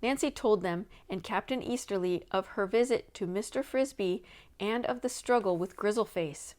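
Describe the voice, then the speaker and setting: A woman's voice: mid-range voice, moderate pace, darkish tone. Female reader for children's mystery audiobook, mid-range voice, scripted narration.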